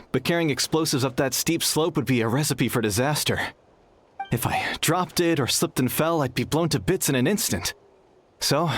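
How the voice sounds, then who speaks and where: A man's voice: on the high side, slight background noise, close to an everyday voice. An actor playing a young male arena worker in a video game, practical and easygoing, explaining things conversationally. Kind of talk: video game dialogue acting.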